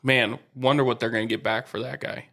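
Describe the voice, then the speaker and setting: Mid-pitched man talking baseball calmly. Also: vocal fry. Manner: steady, explains and asks questions, slows for emphasis. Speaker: podcast co-host. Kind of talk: casual sports chat.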